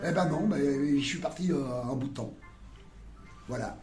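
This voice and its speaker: A man's voice: on the high side, lively intonation, normal speed, creaky. A middle-aged man talking casually in a street interview, rambling and slangy, with many fillers and musings. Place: outdoors on a town street. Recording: roomy sound with loud music behind.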